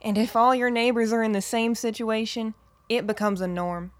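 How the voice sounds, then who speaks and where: A woman's voice: fairly high pitch, fairly even intonation, moderate pace, no room sound, close to an everyday voice. An actress playing a tough, weary woman in hiding in an audio drama, speaking bluntly and matter-of-factly.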